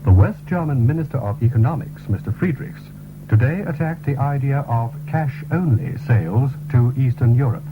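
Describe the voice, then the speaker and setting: A man reading a formal, scripted radio news bulletin in a measured way, on a 1970s broadcast. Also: mid-range voice, animated, over music. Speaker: radio newsreader. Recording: tinny, narrow-band 1970s radio broadcast recording.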